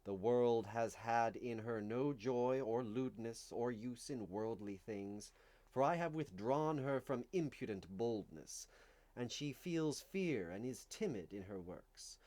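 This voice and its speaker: Male reader, mid-pitched voice, solemn and declamatory, for a dramatic reading of sacred visionary text. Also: expressive, dark tone. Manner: solemn, declamatory, deliberate phrasing with dramatic emphasis.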